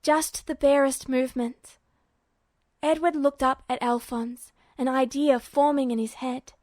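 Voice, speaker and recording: High-pitched woman narrating fiction. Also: steady tone, measured pace, darkish tone, no room sound.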